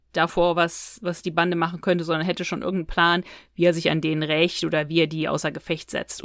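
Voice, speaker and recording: A woman's voice: on the low side, no echo, studio quality. A woman co-hosting a podcast, giving her opinions in a casual, conversational review chat. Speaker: podcast co-host. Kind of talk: casual review chat about audio dramas.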